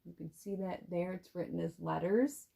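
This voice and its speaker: A woman's voice: fairly low pitch, fairly even intonation, normal speed, vocal fry, warm tone. A woman teacher giving an instructional talk on reading aloud, explaining things and often checking in with a short question. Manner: explanatory, checks understanding with "right?".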